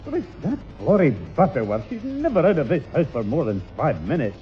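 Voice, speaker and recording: A crotchety old Northern English grandfather blusters and exclaims in a highly animated, rather high voice. It is 1960s radio comedy with dull sound. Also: brisk, faint music behind. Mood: exasperated. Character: grumpy, exasperated old grandfather. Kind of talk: 1960s British radio sitcom acting.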